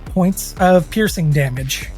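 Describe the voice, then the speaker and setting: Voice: mid-range voice, takes it slowly, a little mellow, faint music behind. A player in a tabletop game, talking casually and thinking aloud about their character's moves.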